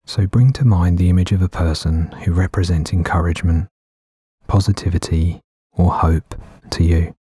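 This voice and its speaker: A man gently guiding a meditation in a soothing, unhurried way with long pauses between phrases. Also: deep voice, fairly even intonation, husky, dry recording, clean studio sound. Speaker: meditation guide. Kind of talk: guided meditation narration. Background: background music.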